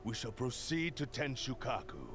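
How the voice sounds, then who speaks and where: A man voicing a proud, obsessive, menacing cursed sword spirit in a video game, with gravelly, haughty, archaic declarations. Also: mid-range voice, sing-song, normal speed, music in the background, close to an everyday voice. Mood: cold, imperious. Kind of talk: dramatic video game voice acting.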